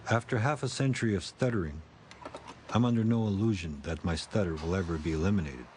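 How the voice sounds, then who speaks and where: Give me a reflective man in his fifties narrating a documentary in a deep, measured voice. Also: slight background noise. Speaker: filmmaker narrating his own film.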